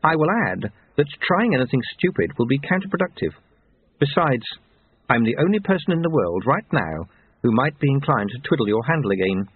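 A man's voice: mid-range voice, very animated, brisk, husky, no echo. A man voicing a stern, commanding young woman with formal, precise, withering speech in an audiobook. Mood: brisk, dry. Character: stern, brisk, slightly built young woman, severe and commanding. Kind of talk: audiobook character voice. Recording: narrow tinny phone-like sound.

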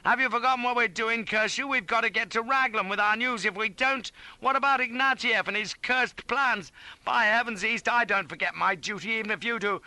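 A man voicing a callous, blustering British soldier rogue, shouting and bullying self-righteously in an audiobook adventure. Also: low voice, normal speed, few pauses, no echo, pitched below the performer's own voice. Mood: furious, bullying.

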